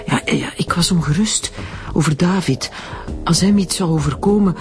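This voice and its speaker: A woman's voice: fairly low pitch, normal speed, over music, lightly acted. An actress playing a troubled, defensive Flemish mother in a radio drama, hesitant and repeating herself. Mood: uneasy confession. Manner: hesitant, stammering slightly, repeats words. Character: wealthy troubled mother, torn, uneasy, defensive.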